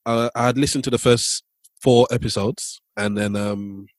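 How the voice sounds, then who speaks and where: A man's voice: medium pitch, steady tone, normal speed, no echo. Joking male co-host with a dry sound. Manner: teasing, stumbling over words. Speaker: podcast co-host. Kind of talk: casual podcast chat.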